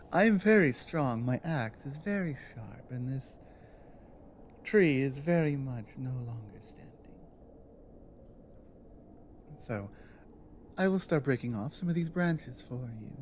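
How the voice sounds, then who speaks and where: Casting: strong, protective female orc acted by a woman for ASMR audio drama. Unhurried, halting, earnest delivery, faint background ambience. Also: low voice, a little mellow, slight background noise, exaggerated voice. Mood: casual, confident. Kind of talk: intimate ASMR roleplay monologue.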